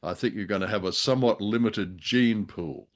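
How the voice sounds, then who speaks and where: A man's voice: lowish voice, moderate pace. An older man co-hosting a casual podcast chat, with a wry, joking and rather hesitant delivery. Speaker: podcast co-host. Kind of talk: casual chat reviewing an old radio drama.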